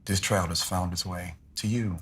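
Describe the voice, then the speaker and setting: Deep-voiced, earnest church activist. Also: male voice, steady tone, normal speed, fairly bright tone, breathy edge, heavily acted. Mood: earnest, persuasive. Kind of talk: film drama dialogue.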